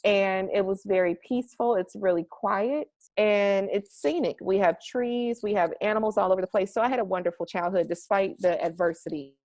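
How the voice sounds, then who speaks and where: An upbeat young woman from the United States answers interview questions at length, trailing into fillers as she thinks. Her voice sits in a middle pitch. The sound is dry and close, without room echo. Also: normal speed, few pauses, darkish tone. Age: young adult. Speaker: interview guest, marketing strategist. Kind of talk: casual interview over a call. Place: home garage.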